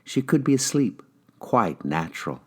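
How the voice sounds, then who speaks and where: Man voicing a gentle, pious lady mourner. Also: medium pitch, big pitch swings, measured pace, slightly rough, voice pushed up. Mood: consoling.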